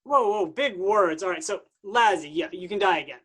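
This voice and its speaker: A woman's voice: mid-range voice, moderate pace, husky, natural voice. A woman as a breezy slacker-dude messiah. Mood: breezy, glib. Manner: laid-back, glib, slangy. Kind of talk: staged reading of a comic play.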